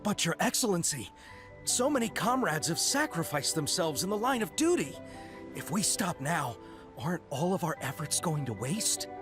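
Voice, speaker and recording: An eager young soldier, high-pitched for a man, with a voice that swings widely as he speaks earnestly and deferentially. Soft game music plays behind him. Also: very animated, normal speed. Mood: pleading. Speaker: game character. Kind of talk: video game voice acting. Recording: game audio with faint music.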